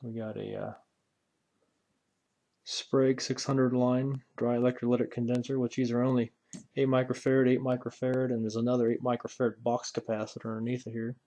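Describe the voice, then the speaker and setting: Male hobby restorer, medium pitch. Folksy, off-the-cuff bench commentary, musing aloud as he works. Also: little pitch movement, fairly quick, warm tone. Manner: folksy, musing aloud while handling parts. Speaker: hobbyist radio restorer presenting a repair video. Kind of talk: casual unscripted hands-on walkthrough.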